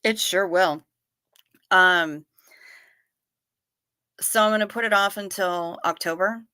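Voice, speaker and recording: Bright-voiced chatty woman in her fifties. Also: mid-range voice, takes it slowly, clear, resonant tone, dry recording, studio quality. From Niagara Falls region. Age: 50s. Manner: chatty and playful, addresses viewers by name. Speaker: livestream host. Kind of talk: casual livestream chat reading viewer comments.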